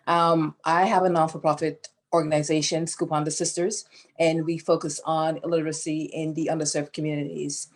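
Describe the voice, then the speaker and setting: A woman from Guyana with a notably low voice, talking through unscripted panel remarks. She hesitates often, filling pauses and restarting her sentences as she goes. The sound comes through a video call. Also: darkish tone. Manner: hesitant, many fillers and restarts. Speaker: nonprofit founder speaking on a panel.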